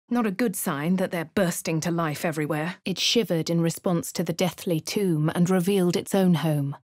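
Posh English heroine, played by a woman: composed, haughty, husky low tone, dry studio sound. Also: darkish tone, no echo, lightly acted. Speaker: player character. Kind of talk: video game voice lines and narration.

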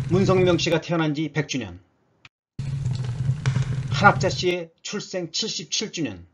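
A man's voice: on the high side, noisy background. A man narrating scripted news for an online channel in a formal, read-out announcer manner.

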